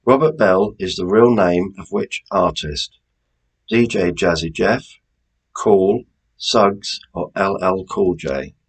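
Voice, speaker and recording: A man hosting a quiz, reading out questions and answers in a deliberate, measured way with informal asides. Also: low voice, breathy edge, low-quality recording. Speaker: quiz host.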